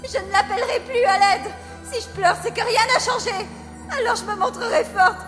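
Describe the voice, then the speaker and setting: A woman's voice: very high-pitched, normal speed, a bit bright. A woman voicing a tormented, defiant little girl devoted to her brother in a French anime fandub, with emotional, tearful delivery. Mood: tearful defiance. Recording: long hall reverb under music.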